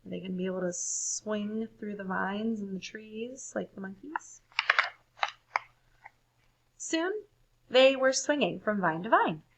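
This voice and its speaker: A woman reading a story aloud for children, expressive and deliberate, pausing to ask listeners questions. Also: mid-range voice, creaky, a little hiss or hum, low-quality recording. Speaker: storybook reader.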